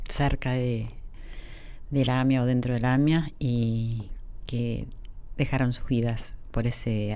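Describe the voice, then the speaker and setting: Low-voiced radio host woman. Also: warm tone. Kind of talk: radio interview. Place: radio studio.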